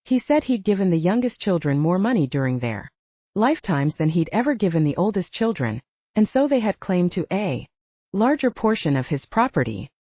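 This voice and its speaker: Narrator playing a candid, wounded woman ranger, lively pitch. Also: normal speed, no echo, muffled recording. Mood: bitter, confiding. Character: wounded, self-reliant human woman ranger confiding family troubles. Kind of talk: audiobook character dialogue.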